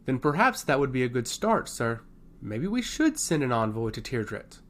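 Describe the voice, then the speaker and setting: A man voicing a stoic woman advisor, composed, deferential and gently persuasive, in a fantasy audio story. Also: mid-range voice, very animated, moderate pace, lightly acted. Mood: calm suggestion. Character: calm, stoic female advisor to a lord.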